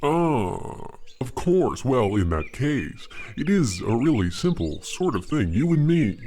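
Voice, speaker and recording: Casting: man as self-important village chief, grandiose and theatrical, big swings in pitch, noisy recording. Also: a little mellow. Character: pompous, self-declared village chief hunting his rival. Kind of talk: scripted radio-play comedy acting.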